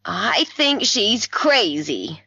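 A woman's voice: very animated, very slow, gravelly, bright, forceful tone, breathy edge, dry recording, muffled recording, heavily acted. A woman voicing an upset, exasperated older mother complaining in a wail in an audiobook mystery. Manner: high, wailing complaint.

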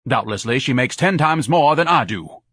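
Man playing a pompous, outraged son, loud and lofty, dry close sound. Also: medium pitch, big pitch swings, normal speed, slightly rough, dry recording, pitched above the performer's own voice. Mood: indignant. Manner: grandiloquent, huffy, loud. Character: pompous, bombastic grown son, indignant.

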